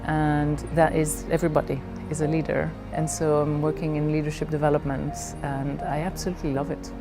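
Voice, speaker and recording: A middle-aged woman from Belgium telling her personal story in a reflective way, haltingly searching for her words. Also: sits low, little pitch movement, music in the background. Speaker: leadership coach.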